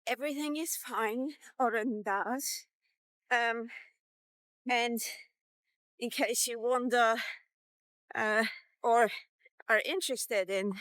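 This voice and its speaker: Woman in her 50s vlogging, kinda slow and halting, thinks as she goes, clean dry sound. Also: a little high, a bit bright, clean tone, no room sound. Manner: halting, reflective, pausing between short phrases. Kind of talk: casual walk-and-talk diet vlog.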